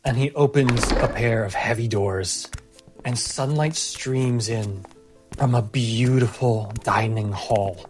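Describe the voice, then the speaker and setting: A man narrating a fantasy tabletop game as game master, in measured phrases with dramatic pauses. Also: medium pitch, faint music behind.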